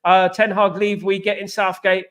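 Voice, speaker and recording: A man's voice: sits high, flat delivery, normal speed, a bit bright. A man hosting a live football fan stream, chatting casually, reading viewer comments and repeating himself. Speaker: host. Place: China.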